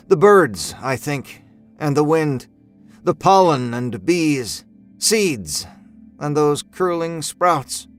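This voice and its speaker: A man narrating a first-person horror story in a measured, brooding, expressive way. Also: mid-range voice. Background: music score.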